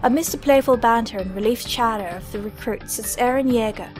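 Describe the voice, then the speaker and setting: A woman's voice: a little high, brisk, a little mellow, soft background music. A woman reading scripted dramatic narration as the narrator of an audio story.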